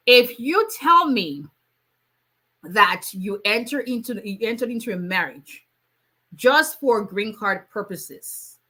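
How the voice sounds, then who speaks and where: Woman immigration attorney, bright voice, casual live Q&A. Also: a little high, expressive, slow pace. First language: Yoruba. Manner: conversational, many fillers, reads out viewer questions. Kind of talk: live Q&A stream.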